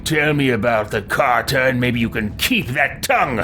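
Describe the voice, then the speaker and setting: A man's voice: medium pitch, moderate pace, over music, lightly acted. A man roleplaying a haughty, cruel fantasy adventurer in a video game, with a pompous, menacing delivery. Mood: contemptuous, threatening.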